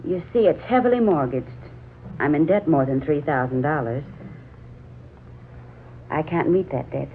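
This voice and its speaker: A woman's voice: low voice, moderate pace, long pauses. Troubled young woman, muffled vintage radio. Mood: troubled, hesitant. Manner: hesitant, earnest. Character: anxious, wistful young woman attached to her family house. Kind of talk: 1940s radio drama acting. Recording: muffled, noisy 1940s radio recording.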